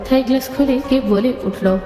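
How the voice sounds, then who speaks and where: A woman's voice: high voice, moderate pace, mellow. A woman narrating a scripted story in the third person. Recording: echoey long reverb, music bed.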